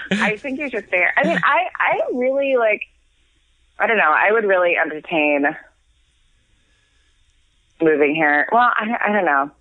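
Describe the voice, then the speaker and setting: A woman's voice: mid-range voice, expressive, measured pace, pauses often, bright, forceful tone, dry recording. An American woman co-hosting by phone, a little tipsy, rambling and giggly with lots of restarts. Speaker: podcast co-host calling in from abroad. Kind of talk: casual chat. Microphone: phone call. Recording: tinny phone line.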